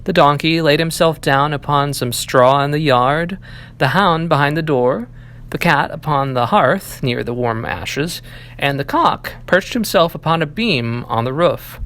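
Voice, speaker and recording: A man reading a fairy tale aloud as an animated audiobook narration. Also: on the high side, a little slow. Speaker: library staff reading aloud.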